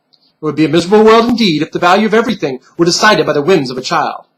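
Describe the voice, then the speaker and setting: A stern little bunny pin from a storybook , acted out by a man, speaks in formal, condescending lectures. The higher-set voice is solemn and patronizing, like a tutor to a child. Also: expressive, very fast, a bit bright, rough recording. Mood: stern lecturing.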